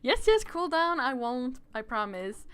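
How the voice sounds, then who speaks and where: A woman voicing a bold, bossy princess from a fairy-tale visual novel, brisk and emphatic. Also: sits high, very animated, a bit bright, clean tone, full character voice. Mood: exasperated promise. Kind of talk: reading visual novel dialogue aloud. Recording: music playing behind the voice.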